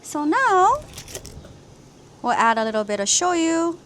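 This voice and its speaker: A woman's voice: very high voice, very animated, slow pace, a bit bright, slight background noise. A woman from Hawaii hosting a cooking vlog, explaining each step of a simple recipe in an upbeat way. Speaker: cooking channel host.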